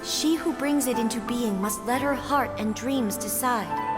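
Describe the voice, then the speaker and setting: A woman's voice: a little high, unhurried, in a large hall, close to an everyday voice. A woman playing a reverent deity in a video game, reciting in a grand, incantatory way. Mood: reverent, exalted. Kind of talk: poetic game drama recitation. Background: game music.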